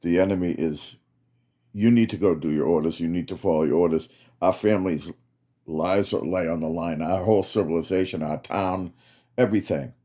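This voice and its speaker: A man voicing a stern male military commander who gives slow, emphatic orders. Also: deep voice, dark tone, natural voice.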